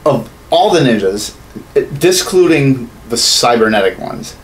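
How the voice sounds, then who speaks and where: A man's voice: medium pitch, sing-song, measured pace, poor audio. A man in his thirties bantering casually as a co-host, joking and swearing freely. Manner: joking, foul-mouthed. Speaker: podcast co-host. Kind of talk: casual banter about video games. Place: small indoor home studio room.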